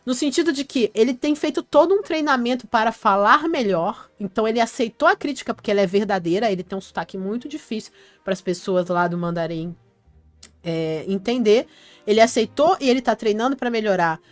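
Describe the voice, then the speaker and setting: A woman's voice: on the high side, lively intonation, brisk, dry recording. Chatty woman host answering live comments. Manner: chatty, reads comments aloud and answers them. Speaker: channel host reading viewer comments. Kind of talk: casual live-stream chat.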